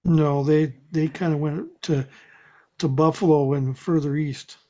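A man's voice: a little high, steady tone, a little slow, dark tone, highs cut off. A man presenting a radio show in casual group conversation, with a dry, joking way of talking.

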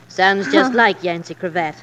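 A woman's voice: medium pitch, takes it slowly, fairly bright tone. An actress playing a disapproving older mother in a 1940s radio drama, with a haughty, theatrical manner. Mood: scornful, appalled. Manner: scornful, theatrical.

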